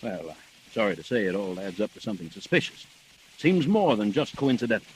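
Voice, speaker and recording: An actor playing a hesitant, sceptical family doctor in a 1940s radio murder drama. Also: male voice, on the low side, very animated, fairly quick, a little hiss or hum, rough recording. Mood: reluctant, grave.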